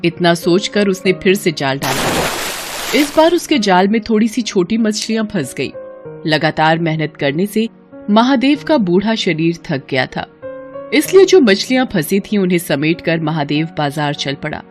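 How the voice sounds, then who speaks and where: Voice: mid-range voice, big pitch swings, moderate pace, soft background music. A narrator reading a scripted cartoon folk story.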